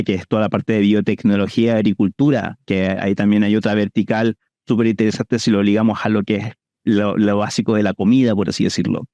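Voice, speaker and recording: A man's voice: lowish voice, mellow, dry recording. A man with a Chilean accent giving conversational answers as an investor guest in an interview.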